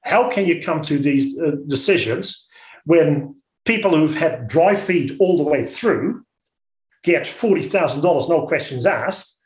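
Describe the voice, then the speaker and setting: Man, Dutch accent, slightly high-pitched voice, outspoken, halting delivery, radio interview guest, echoey room. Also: animated. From Netherlands. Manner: blunt, outspoken. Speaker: interview guest, orchard business owner.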